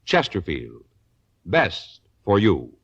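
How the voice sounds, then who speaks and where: A man delivers a 1950s radio commercial on a dull vintage recording. His mid-range voice swoops widely as he presses each phrase with deliberate emphasis. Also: low-pitched, wildly swooping pitch, a bit bright, husky. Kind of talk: scripted 1950s radio advertisement.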